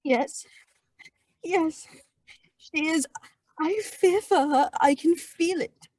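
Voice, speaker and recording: A woman's voice: very high voice, takes it slowly, darkish tone, dry recording. An actress playing an anxious, pleading young girl in a gothic radio drama.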